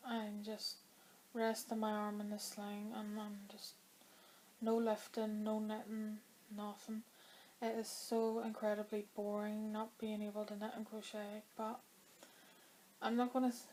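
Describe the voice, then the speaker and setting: A woman's voice: medium pitch, monotone, a little slow, a little mellow, a little hiss or hum, poor audio. A woman vlogging about her knitting in a chatty, rambling way, her throat dry as she comes down with a cold. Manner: chatty and rambling, with many fillers. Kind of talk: casual chat to camera.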